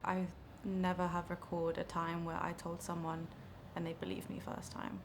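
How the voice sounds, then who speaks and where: A young woman speaking earnestly and in a measured way in an interview. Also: fairly low pitch, monotone, noisy background. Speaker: documentary interviewee. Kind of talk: candid interview.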